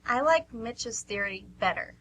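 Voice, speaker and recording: A woman's voice: on the high side, animated, a little slow, a bit bright, a little hiss or hum, poor audio. A woman playing a sceptical, questioning train passenger in an amateur radio play. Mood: sceptical, bantering.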